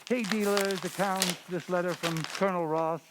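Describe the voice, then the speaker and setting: Guy as radio play police inspector, formal and eager to report, fairly high voice, faint noise behind. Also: fairly even intonation, normal speed, natural voice. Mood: matter-of-fact. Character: earnest, deferential police inspector, adult man. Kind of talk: old-time radio drama acting.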